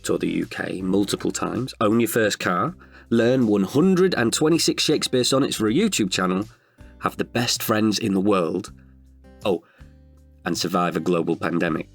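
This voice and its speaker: A man's voice: on the low side, moderate pace, gravelly, husky, soft background music. A man in his thirties performing an intimate, chatty monologue as an older, wiser self tenderly addressing his past self. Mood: candid, reflective. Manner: chatty, wry, heartfelt. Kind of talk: intimate confessional audio monologue.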